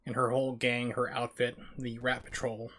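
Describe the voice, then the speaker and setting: A man's voice: mid-range voice, little pitch movement, normal speed, fairly bright tone. A man talking casually as the host of a live video game stream.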